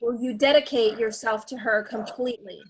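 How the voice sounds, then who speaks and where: A woman's voice: fairly high pitch, steady tone, moderate pace, natural voice. A woman playing a cold, righteous cult leader in a dramatic table read, stern and imperious in her delivery. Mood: solemn, commanding.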